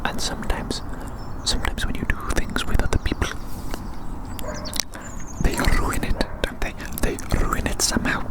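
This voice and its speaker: Asmr person whispering breathy, rambly monologue, repeats phrases a lot, kinda formal wording. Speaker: ASMR performer.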